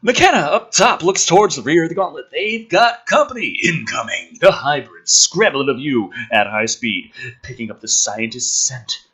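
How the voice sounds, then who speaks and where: A man doing an animated read-through of a script, theatrical, with exasperated and mocking asides. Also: a little high, moderate pace, husky. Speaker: host reading and mocking a film script aloud.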